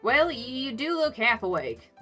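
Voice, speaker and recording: A woman's voice: a little high, very animated, measured pace, sharp tone, soft background music, pitched above the performer's own voice, heavily acted. A woman voicing a friendly, teasing animal mother who runs a coffee shop, with an American Southern accent and sassy, folksy banter. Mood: wry, friendly.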